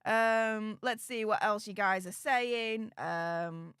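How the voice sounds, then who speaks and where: A woman's voice: mid-range voice, fairly even intonation, slow, a bit bright, clean tone, dry recording, studio quality. A woman with a Yorkshire accent, hosting a casual livestream and chatting as she reads out viewer comments. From Yorkshire, England. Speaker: YouTube host reading live chat.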